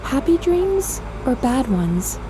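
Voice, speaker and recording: Wistful dream-eater spirit, noisy background. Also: female voice, high voice, sing-song, slow, heavily acted. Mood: playful musing. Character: gentle, wistful female dream-eater spirit working as psychologist. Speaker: video game character. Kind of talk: scripted video game voice acting.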